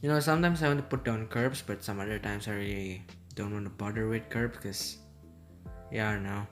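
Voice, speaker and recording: A man's voice: fairly low pitch, fairly even intonation, brisk, soft background music. Easygoing man. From Indonesia. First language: Indonesian. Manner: rambling, easygoing, hesitant. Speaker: game commentator. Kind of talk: casual gameplay commentary. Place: at home.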